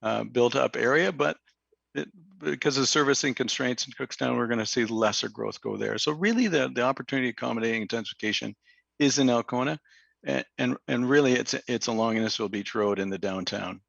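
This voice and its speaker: A man with a slightly hoarse, gravelly voice works through a planning presentation at a public meeting, pausing on fillers and restarting his phrases. The audio is dull and lo-fi, with a dry, close sound. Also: mid-range voice, a bit bright, dry recording. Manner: halting, many fillers and restarts. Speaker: planning consultant presenting to council.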